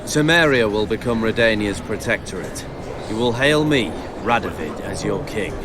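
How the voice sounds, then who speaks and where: An imperious, calculating game monarch , performed by a man. His voice is on the low side, with regal and deliberate delivery that commands. Clear ambient noise runs behind it. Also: lively intonation, natural voice. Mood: cold, commanding. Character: imperious, calculating fantasy king, commanding and cold. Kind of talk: dramatic game acting.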